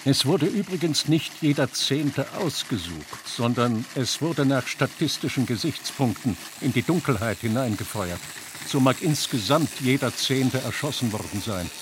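A man's voice: mid-range voice, lively intonation, measured pace. Man explaining over noisy scraping. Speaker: commentator on the account. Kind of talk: scripted documentary-style explanation. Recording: clear rustling, scraping background noise.

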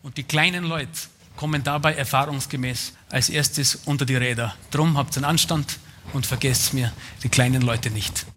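A man's voice: mid-range voice, fairly even intonation, moderate pace, fairly bright tone, slight background noise. A man with a Bavarian accent giving a satirical Lenten sermon on stage, preachy and admonishing.

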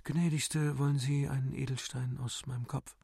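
A man's voice: mid-range voice, little pitch movement, moderate pace, creaky, dark tone, slightly rough, dry recording, studio quality. Roguish gallant bar rogue, as a man reads him. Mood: teasing. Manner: mock-polite, teasing.

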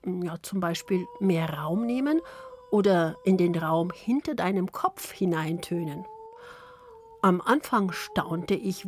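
A woman from Poland, Polish native, narrating with a Polish accent in a soft, slow, intimate read. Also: fairly low pitch. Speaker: radio author and narrator. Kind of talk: scripted reflective narration.

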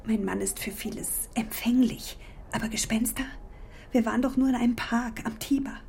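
A woman's voice: a little high, fairly even intonation, normal speed, creaky, darkish tone, slight background noise. An actress playing a worried, apologetic middle-aged German wife and mother in a naturalistic radio drama, speaking hesitantly. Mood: anxious, searching.

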